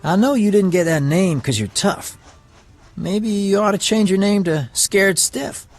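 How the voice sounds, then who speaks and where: High, raspy, brash young drifter. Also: male voice, measured pace, soft background music. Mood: teasing. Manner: blunt, needling. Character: brash, teasing, streetwise young male drifter. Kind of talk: audio drama acting.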